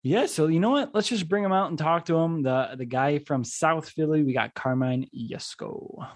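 A man's voice: a little high, normal speed. A man in his mid-twenties hosting a podcast, talking casually and rambling with lots of "like". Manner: rambling, leans heavily on "like". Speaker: podcast host.

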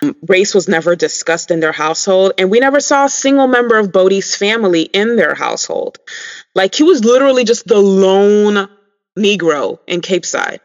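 A man's voice: high voice, animated, normal speed. A man co-hosting a podcast in casual chat, talking listeners through his points with lots of ums. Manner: chatty, many ums, addresses listeners. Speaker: podcast co-host. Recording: dull lo-fi sound.

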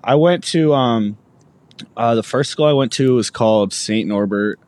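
A young musician from Alberta answers questions in a loose, rambling way, full of fillers. His voice is mid-pitched and sounds dry and close. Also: unhurried, darkish tone, no room sound. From Alberta, Canada. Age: young adult. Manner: laid-back, rambling, with many fillers. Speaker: musician guest. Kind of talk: casual interview chat.

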